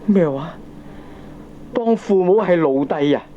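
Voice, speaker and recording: A man's voice: a little high, lively intonation, takes it slowly. An actor with a Hong Kong accent playing a doting, aggrieved middle-aged father, deferential and questioning, in a radio drama. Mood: aggrieved, baffled. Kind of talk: Cantonese radio drama acting. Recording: dull, slightly noisy old cassette recording.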